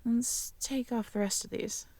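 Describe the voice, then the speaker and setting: A woman acting a caring, teasing, curious young girlfriend in an intimate roleplay audio drama, talking softly and conversationally to the listener. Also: fairly high pitch, fairly even intonation, a little slow, no echo. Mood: gentle concern. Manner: soft, intimate, conversational, playful.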